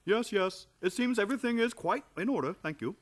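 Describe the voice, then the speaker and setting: A man's voice: sits high, lively intonation, brisk. A man acting a fussy, polite sanitarium official, formal and fluttery, in a radio play comedy.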